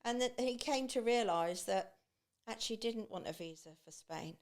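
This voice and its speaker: A woman's voice: on the high side, normal speed. A woman guest on a podcast, talking casually with many restarts and fillers. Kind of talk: casual conversation.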